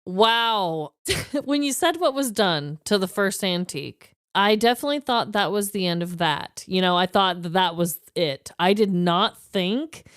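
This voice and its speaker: A woman co-hosting a podcast in casual chat, expressive and informal as she reacts. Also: medium pitch, unhurried, clean tone, dry recording, studio quality. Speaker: podcast co-host.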